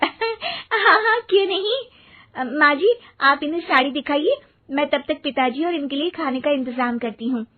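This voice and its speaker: A young daughter-in-law from a family storybook drama, played by a woman. Her high voice swings widely, eager, exclamatory and quick to fluster, on a thin phone-like recording. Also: expressive. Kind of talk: acted moral story dialogue. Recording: muffled, phone-like narrow band.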